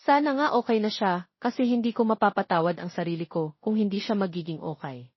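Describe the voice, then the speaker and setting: A woman's voice: mid-range voice, fast talker, slightly rough, no room sound, highs cut off, natural voice. A woman voicing an earnest, anxious young expectant father in a narrated love story.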